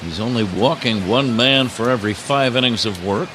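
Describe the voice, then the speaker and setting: A man's voice: medium pitch, moderate pace. A man calling baseball play-by-play live on the radio as the announcer. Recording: crowd roar and steady stadium noise. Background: ballpark crowd.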